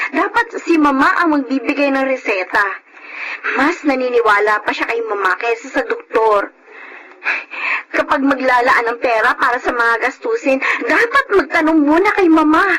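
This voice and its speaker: High-voiced, long-suffering young Filipino wife. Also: female voice, expressive, normal speed, sharp tone, slight background noise, rough recording. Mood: weary, distressed. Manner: plaintive, emotional, respectful to elders. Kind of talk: Filipino radio drama acting.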